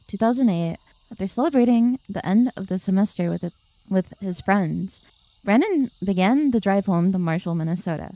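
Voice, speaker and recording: A woman calmly reading a missing-person story aloud at an even pace. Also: medium pitch, dry recording, low-quality recording.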